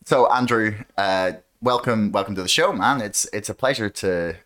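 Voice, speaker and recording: A man's voice: on the low side, moderate pace, fairly bright tone. A man hosting a podcast, chatting casually and hesitantly with many fillers. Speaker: podcast host.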